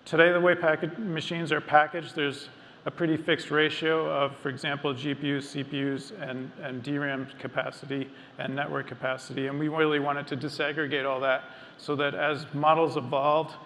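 Middle-aged male presenter, measured and explanatory, slightly high, flat delivery, in a reverberant hall. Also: slight background noise. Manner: measured, explanatory, with frequent ums and restarts. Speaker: engineering lead presenting on stage. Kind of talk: technical keynote talk. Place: large dark stage before a seated audience. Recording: long hall reverb, faint ambience.

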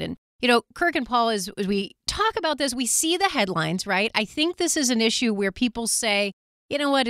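A woman's voice: a little high, a bit bright, dry recording. Upbeat woman host, dry studio sound. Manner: upbeat, leading questions. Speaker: show host. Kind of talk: host introduction.

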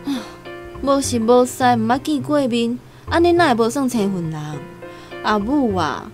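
女演員扮演高音、起伏大的台灣媳婦，氣急責備. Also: female voice, a little high, lively intonation, moderate pace, a little mellow, soft background music, close to an everyday voice. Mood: suspicious, scolding. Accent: Taiwanese. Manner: scolding, indignant. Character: exasperated, scolding working daughter-in-law. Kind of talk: radio drama acting in Taiwanese Hokkien.

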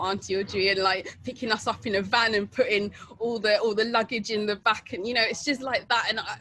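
A woman's voice: mid-range voice, fairly bright tone, slight background noise. A middle-aged woman from England telling her story in a casual, chatty way, with many fillers and laughs, over a webcam video call. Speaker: interviewee. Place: outdoor porch at night.

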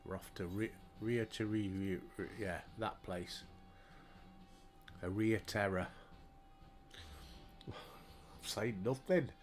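A man's voice: lowish voice, slow pace, pauses often, faint music behind. An older man with a Yorkshire accent, chatting casually to himself and his viewers while playing a game, recorded on a headset mic at home. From Yorkshire, England. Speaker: gaming streamer. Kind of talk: relaxed gameplay commentary.

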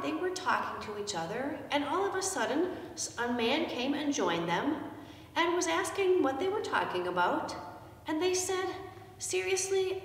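A middle-aged woman pastor telling a story to children in simple, animated language, with questions to her listeners. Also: high voice. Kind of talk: children's message, storytelling from a picture book. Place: indoors in a church, seated before the altar. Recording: echoey church, distant microphone, noisy background.

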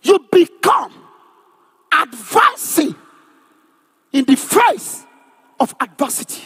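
High-pitched, emphatic male preacher. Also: very animated, takes it slowly. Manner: emphatic, declamatory, often shouting; repeats phrases for emphasis. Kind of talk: charismatic sermon with call and response.

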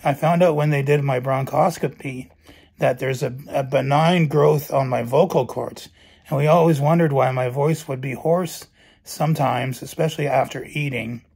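Middle-aged man, hoarse and gravelly, flat even delivery, phone-to-face vlog in a bedroom. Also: medium pitch, moderate pace. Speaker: vlogger. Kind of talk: personal health update. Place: bedroom at night, indoors. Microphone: phone camera held close.

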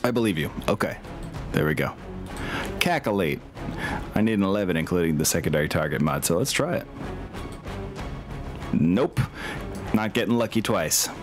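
A man's voice: low voice, lively intonation, normal speed, pauses often, music in the background. A man acting as game master, casually running an online tabletop game.

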